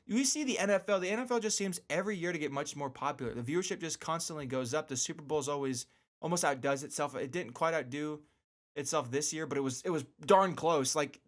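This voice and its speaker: Casual male sports podcast host, slightly high voice, loose rambling delivery, repeats himself to hammer points home. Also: fast talker. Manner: rambling, repeats phrases for emphasis. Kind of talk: casual solo sports commentary.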